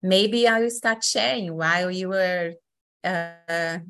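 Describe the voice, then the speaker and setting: A woman from Brazil with a Brazilian accent, hosting an online session as facilitator in a friendly, unhurried way with many fillers. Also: fairly low pitch, sharp tone, clear, resonant tone.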